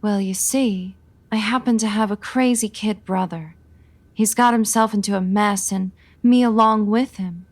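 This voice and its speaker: A woman's voice: mid-range voice, steady tone, unhurried, natural voice. An actress playing a worried, earnest showgirl in the melodramatic manner of 1940s radio noir. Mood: anxious, urgent.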